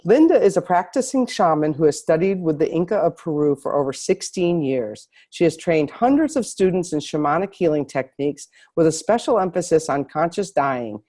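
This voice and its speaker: A woman hosting an online interview, hoarse from a cold, often restarting her sentences. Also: sits low, lively intonation, dark tone. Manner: halting, with many restarts. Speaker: host.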